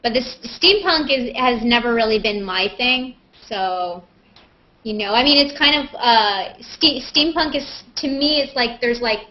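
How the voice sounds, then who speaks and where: A woman's voice: a little high, lively intonation, a little slow. A woman chatting casually and playfully as she hosts a live question-and-answer video call. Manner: playful, joking, self-mocking. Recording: muffled, lo-fi internet video call.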